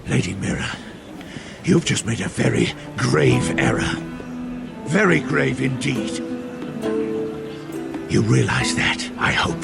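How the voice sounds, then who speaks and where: Pompous threatening lord in a game, very gravelly, swoopy pitch, loud music. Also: male voice, wildly swooping pitch, slow, pauses often, exaggerated voice. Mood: cold, threatening. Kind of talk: video game dramatic acting.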